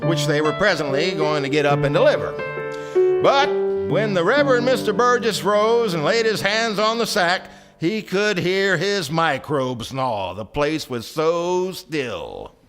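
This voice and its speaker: A man performing as a wry storyteller, narrating in deliberate theatrical phrases for a live radio play. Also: a little high, expressive, fairly bright tone. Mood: wry storytelling. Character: wry storyteller narrating a small-town satire. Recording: live stage radio recording with music.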